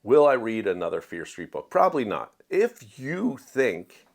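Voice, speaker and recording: A man's voice: mid-range voice, big pitch swings, a little slow, vocal fry. A man giving a casual, opinionated book review to viewers in a conversational, emphatic way. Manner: conversational, acts out quoted dialogue in everyday voice.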